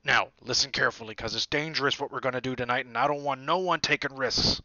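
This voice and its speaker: Guy putting on a big loud gruff groundskeeper, rustic talk, bright edge, dull lo-fi sound. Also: fast talker, dry recording. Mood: gruff, stern. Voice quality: booming, broad rustic dialect.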